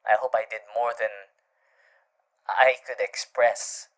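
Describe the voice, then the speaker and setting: A man's voice: mid-range voice, flat delivery, slow pace, natural voice. A man voicing a suave, courtly radio demon who is teasing yet tenderly devoted, in an ASMR roleplay. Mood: tender, soothing. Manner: courtly, theatrical, hushed turns into gleeful chuckles.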